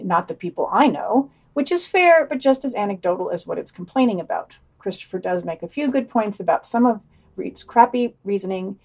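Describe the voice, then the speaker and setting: A woman's voice: mid-range voice, a little mellow. A woman co-hosting a podcast, reading news items aloud and adding wry, dry asides. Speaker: podcast co-host.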